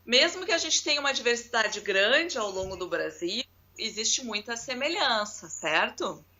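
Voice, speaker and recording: Woman, lively chatty co-host, bright sharp voice, a bit high. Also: moderate pace. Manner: lively storyteller, speaks straight to viewers. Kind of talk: casual chat. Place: abroad, remote call.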